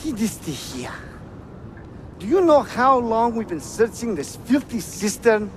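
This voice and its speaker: Grumbling, high-pitched guard. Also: male voice, sing-song, unhurried, music in the background, full character voice. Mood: exasperated. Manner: exasperated, complaining. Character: irritable, grumbling male guard searching underground. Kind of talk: video game cutscene acting.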